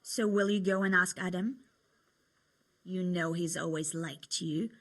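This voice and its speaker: A woman's voice: lowish voice, steady tone, unhurried. A young woman playing a cheerful, teasing medieval tavern girl in a video game, chatty and coaxing. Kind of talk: video game cutscene acting.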